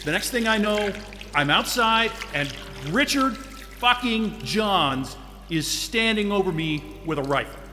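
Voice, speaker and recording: Frazzled loner, male, grumpy, profane, high bright voice, reverberant. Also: animated, a little slow, soft background music, full character voice. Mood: strained. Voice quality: blunt and profane. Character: stressed isolated man, grumpy, blunt, swearing. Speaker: lead character. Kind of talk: audio drama acting.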